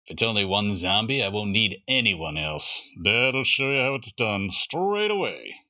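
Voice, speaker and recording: A man's voice: on the low side, very animated, measured pace, sharp tone, pitched below the performer's own voice, exaggerated voice. A man voicing a confident, blustery, old-fashioned father with a pompous, matter-of-fact manner for a children's storybook.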